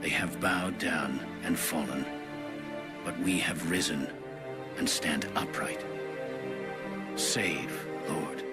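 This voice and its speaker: A man reads scripture in a very deep voice with music clearly underneath. His delivery is slow, solemn and formal, each line measured out. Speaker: scripture narrator.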